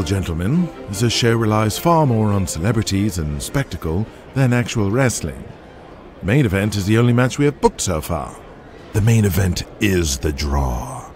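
A man's voice: fairly low pitch, darkish tone. An actor playing a businesslike wrestling executive briefing his boss in a matter-of-fact way. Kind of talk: audio drama acting.

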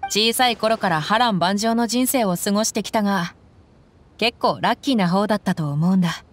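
A woman plays a young desert fighter in an anime-style game. She is tough and plain-spoken, with tomboyish casual phrasing and a good heart, at a middling female pitch. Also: moderate pace, no room sound, close to an everyday voice. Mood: grateful. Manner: blunt, tomboyish casual speech.

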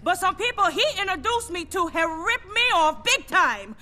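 A brash woman dealer in a video game, foul-mouthed and quick to fury. Her voice is extremely high and forceful. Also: lively intonation, heavily acted. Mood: furious. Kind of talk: video game cutscene acting.

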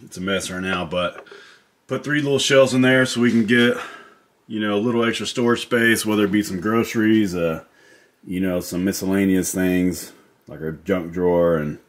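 A man in his thirties casually talking through a home building project, relaxed and rambling with lots of fillers and restarts. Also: low voice, normal speed. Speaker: DIY vlogger. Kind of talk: casual how-to narration. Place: tiny house interior and outdoor deck.

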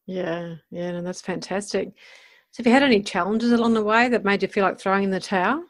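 Woman interviewing, mid-pitched voice. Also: a little fast. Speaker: podcast host, interviewer. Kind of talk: conversational interviewing.

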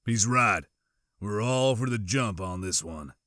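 A man voicing a gruff, cynical soldier in an audiobook, blunt, clipped and scornful. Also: on the low side, animated, measured pace, sharp tone, dry recording, clean studio sound, pitched below the performer's own voice.

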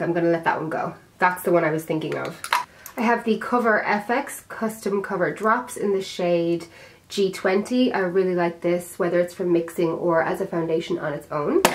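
A young woman vlogging casually at her makeup drawers, chatty and self-deprecating, her voice a little raspy from being sick. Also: fairly low pitch. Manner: chatty, self-deprecating, talks while handling products. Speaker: beauty vlogger. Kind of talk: casual chat to camera.

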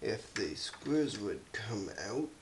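A young man with a crackly voice from a cold, casually narrating a teardown video in a carpeted room, halting and clearing his throat often. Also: lowish voice, very animated. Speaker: YouTube host.

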